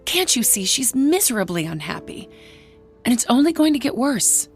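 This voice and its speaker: An actress playing an overbearing, disapproving aunt with an insistent, haughty manner in a radio drama. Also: female voice, fairly high pitch, sing-song, normal speed. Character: overbearing, disapproving aunt fussing over bereaved children. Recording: studio radio play with music underneath.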